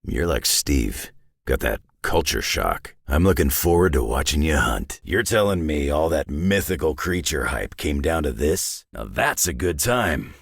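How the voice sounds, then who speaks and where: A grizzled old superhero brawler for a video game, with an extremely deep voice and a husky North American growl. He is a sardonic loner who talks in clipped, dry lines, and his pitch swings widely. The sound is close and dry. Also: big pitch swings, measured pace, dry recording, heavily acted. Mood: dry, gruff. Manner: dry, sardonic, clipped tough-guy delivery.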